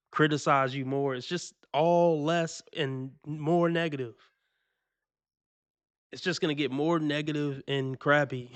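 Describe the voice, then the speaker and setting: A man's voice: medium pitch, clear, resonant tone, no room sound. A man hosting a stream, reacting to clips in slangy, casual talk, laughing and repeating his points for emphasis.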